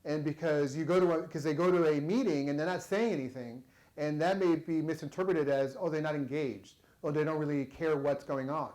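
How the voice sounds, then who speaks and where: A man's voice: fairly high pitch, steady tone, brisk, a little mellow, low-quality recording. A man giving a conversational workshop talk to an audience, chatty and repeating words as he goes. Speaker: presenter.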